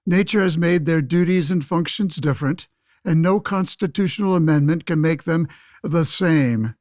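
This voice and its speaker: Male actor as pompous old-fashioned politician, oratorical, self-righteous debater, radio play, higher male voice, dry recording. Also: moderate pace, a little mellow, no room sound, lightly acted. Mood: condescending. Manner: pompous, speechifying. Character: pompous old-fashioned 1911 senator, windbag against women's suffrage. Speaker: debate guest.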